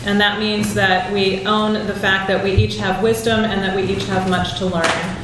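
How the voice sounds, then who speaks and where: A woman moderating a panel discussion, speaking in a calm, measured way with frequent fillers. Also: mid-range voice. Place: church hall. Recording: echoey hall from a distant mic, noisy.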